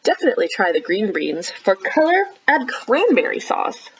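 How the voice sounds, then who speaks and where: A woman children's librarian reading a picture book aloud expressively, with animated, encouraging chatty asides to young listeners. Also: medium pitch, moderate pace, muffled recording, slight background noise. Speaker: children's librarian hosting storytime.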